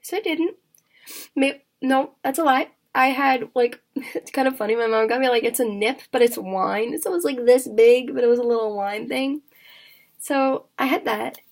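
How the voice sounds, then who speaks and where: A woman in her twenties talks candidly to her vlog viewers in a raspy voice, halting often with fillers and restarts. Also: fairly high pitch. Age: 20s. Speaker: vlogger. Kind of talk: candid personal vlog chat.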